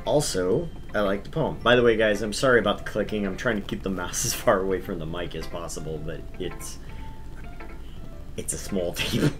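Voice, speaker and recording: A man's voice: deep voice, fairly quick, fairly bright tone, soft background music. A man hosting a gaming stream, chatting casually and reading aloud in an informal, joking way. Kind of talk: casual chat and visual novel read-aloud.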